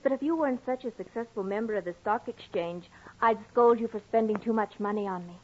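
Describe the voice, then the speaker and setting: Actress as a spoiled, coaxing young sweetheart, dull recording. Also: female voice, a little high, quick pace, close to an everyday voice. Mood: playful. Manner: coaxing, playfully commanding. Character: charming, spoiled, insistent young rich woman in love. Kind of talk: 1940s radio drama acting. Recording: dull old radio recording.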